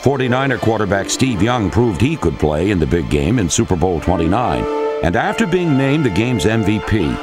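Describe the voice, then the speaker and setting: A man delivering scripted narration for a sports highlights film as the narrator. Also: big pitch swings, moderate pace, music in the background. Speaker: documentary narrator.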